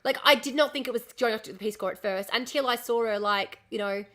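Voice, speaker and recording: Young streamer, hoarse from illness, swears a lot, sharp and bright, fairly high register. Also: female voice, fast. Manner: ranting, lots of swearing and restarts. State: losing her voice, coughing. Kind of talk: casual live-stream chat reading comments.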